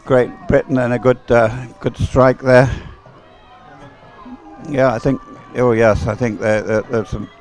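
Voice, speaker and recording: A man's voice: medium pitch, little pitch movement, a little slow, darkish tone, soft background music. A man doing live sports commentary and off-the-cuff chat in a hesitant, rambling way, often repeating words.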